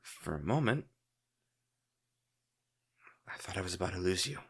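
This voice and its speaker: Low-voiced sardonic villain boyfriend, acted by a man. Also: lively intonation, a bit bright, breathy edge, exaggerated voice. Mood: worried. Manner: halting, dramatic pauses, sardonic. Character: male crime-boss villain, secretly tender, worried, sardonic. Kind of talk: intimate audio roleplay drama.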